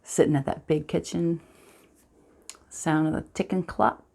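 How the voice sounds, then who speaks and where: Woman acting a somber female storyteller, low-leaning voice, hushed, halting, deliberate, dramatic film monologue. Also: fairly even intonation, vocal fry, exaggerated voice. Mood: wistful, brooding. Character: somber storyteller recalling a farm childhood.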